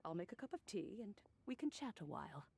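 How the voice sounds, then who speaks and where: A woman playing a gentle, wistful old granny in a video game, speaking in a genteel, unhurried, reflective way over background music. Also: fairly low pitch, sing-song, creaky, slight background noise. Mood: kindly, lightly amused.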